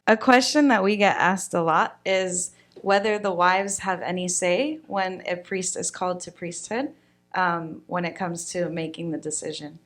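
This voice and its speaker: A woman hosts a podcast interview. She asks her questions simply, and in between she keeps her replies short and encouraging. Her voice sits on the lower side for a woman. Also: steady tone, a little slow, fairly bright tone. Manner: brief encouraging responses. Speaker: podcast host. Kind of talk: scripted-ish interview questions.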